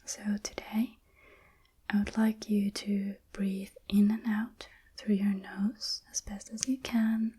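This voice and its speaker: A woman whispering a calm, guided breathing lesson, counting slowly aloud and explaining each step. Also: mid-range voice, slightly rough. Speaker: breathing instructor.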